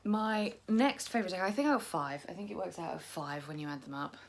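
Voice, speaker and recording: Tired, croaky low-voiced woman. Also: a little fast. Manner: chatty, self-deprecating, rambling. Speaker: tarot vlogger. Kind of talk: casual rambling chat to camera.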